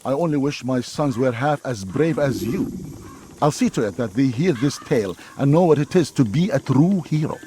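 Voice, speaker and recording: A man's voice: medium pitch, lively intonation, normal speed, noisy background, natural voice. An actor playing a grateful, proud old father in a video game. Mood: grateful, admiring.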